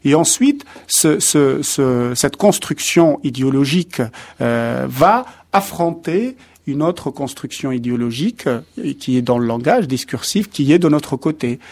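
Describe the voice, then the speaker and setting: A man in a studio interview, explaining carefully like an academic. He talks in a measured way, often hesitating and restarting a phrase before finding the right word. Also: mid-range voice, expressive, darkish tone. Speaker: expert guest on translation.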